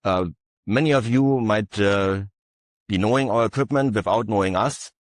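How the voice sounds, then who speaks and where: A man presenting his company's equipment at an industry session, speaking haltingly with many filler uhs. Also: lowish voice, dry recording, clean studio sound. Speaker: conference panelist.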